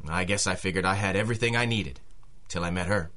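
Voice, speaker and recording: Low-voiced, earnest big working man. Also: fairly bright tone. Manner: halting, earnest, courteous. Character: big, plain-spoken working man, polite, earnest, bashful. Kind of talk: old-time radio drama acting.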